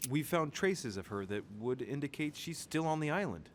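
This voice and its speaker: An actor playing a polite, reserved and probing gentleman in a period drama video game. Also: a little high, expressive, moderate pace, a little hiss or hum, lightly acted. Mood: polite, guarded. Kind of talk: scripted video game acting.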